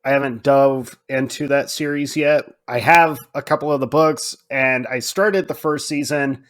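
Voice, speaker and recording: A man's voice: medium pitch, unhurried, fairly bright tone. A man co-hosting a podcast, chatting casually with strong opinions in colloquial, emphatic language. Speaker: podcast co-host.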